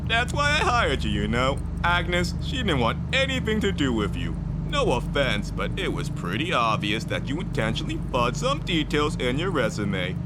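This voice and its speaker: An actor playing a folksy, pushy, falsely genial store manager who chatters and wheedles in an audio drama. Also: male voice, medium pitch, huge pitch swings, normal speed, few pauses, very noisy. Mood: gushing, boastful. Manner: chatty, wheedling, folksy church-going turns of phrase.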